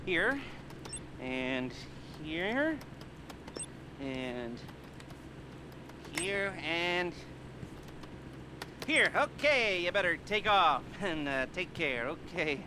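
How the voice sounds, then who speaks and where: A scatterbrained, needy criminal mastermind from a video game. His high voice takes its time, stumbling through effusive, nervous rambles that swing wildly in pitch. There is clear noise behind him. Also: full character voice. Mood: flustered, embarrassed. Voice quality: nervous giggly, squealing when excited. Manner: rambling, halting, stammering, effusive. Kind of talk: video game cutscene acting.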